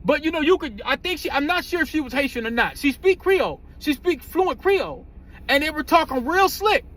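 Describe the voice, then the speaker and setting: Very high-pitched man, blunt and profane. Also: expressive, brisk, a bit bright. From United States. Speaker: commentary host. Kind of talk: casual opinionated commentary.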